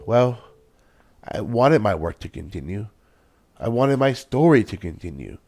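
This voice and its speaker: A man's voice: fairly low pitch, big pitch swings, a little slow, creaky, warm tone, dry recording, full character voice. Man playing an earnest scientist, dry close sound. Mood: regretful, resigned. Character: Einstein, earnest male scientist and inventor explaining himself. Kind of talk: audio drama acting.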